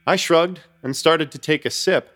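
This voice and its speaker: A young man giving wry, measured first-person narration in a horror comedy radio play. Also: a little high, heavy background noise. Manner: wry, measured storytelling with nervous asides.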